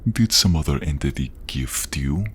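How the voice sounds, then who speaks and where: A man's voice: lively intonation, slight background noise. A man voicing a menacing, inhuman grand inquisitor with a hunger for blood, commanding and savoring his words in a fantasy audio role-play. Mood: probing, hungry. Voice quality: very deep, gravelly, breathy. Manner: slow, savoring, commanding, condescending.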